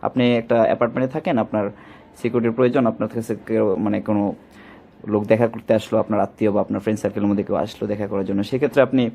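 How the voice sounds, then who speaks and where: A man with a Bangladeshi Bengali accent, giving a product demonstration as a shop salesman, with rapid, repetitive sales talk. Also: fairly low pitch, steady tone, gravelly, dark tone.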